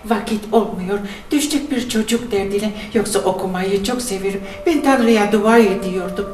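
A woman's voice: medium pitch, moderate pace, darkish tone, echoey room, lightly acted. A woman playing a frustrated, childless wife who complains in prayer. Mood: exasperated. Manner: complaining, exasperated.

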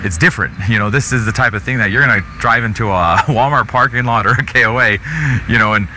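American male vlog host, thirties, very bright and punchy delivery, some noise behind him. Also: lowish voice, fast talker. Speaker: show host from an RV dealership. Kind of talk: casual vlog presenting. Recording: noticeable background noise.

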